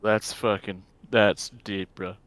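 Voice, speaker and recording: A man's voice: on the low side, little pitch movement, takes it slowly, a bit bright, no echo. A man taking part in a live stream, bantering casually with friends. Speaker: stream participant. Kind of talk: casual joking group banter.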